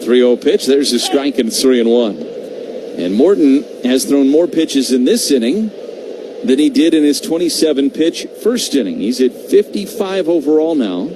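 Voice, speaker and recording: A man doing live radio baseball play-by-play, conversational between pitches and excitable on the big calls. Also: moderate pace. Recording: noisy live radio broadcast feed.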